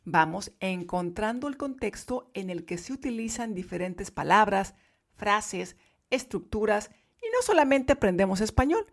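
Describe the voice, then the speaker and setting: A woman teaching Spanish to learners in a podcast lesson, speaking clearly and emphatically. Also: mid-range voice, a little mellow.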